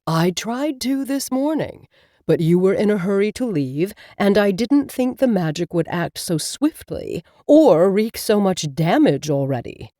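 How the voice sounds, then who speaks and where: A woman voicing a concerned male talking cat, gentle and slow. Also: medium pitch, sing-song, dry recording, studio quality.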